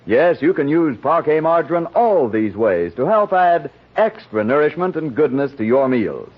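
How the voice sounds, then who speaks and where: A man's voice: a little high, very animated, moderate pace. A man working as a radio announcer, reading a sponsor's advertisement persuasively in 1940s style. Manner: emphatic, persuasive. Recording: muffled 1940s radio recording.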